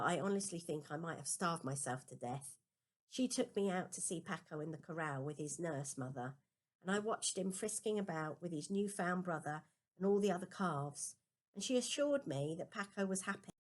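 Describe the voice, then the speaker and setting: A woman's voice: lowish voice, expressive, moderate pace. A woman reading a children's novel aloud as a first-person storybook narration. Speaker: storybook reader.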